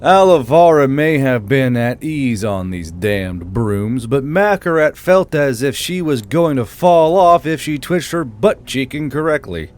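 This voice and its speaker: A man's voice: medium pitch, big pitch swings, measured pace, hardly any pauses. A man reading scripted fantasy story narration as the narrator. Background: background music.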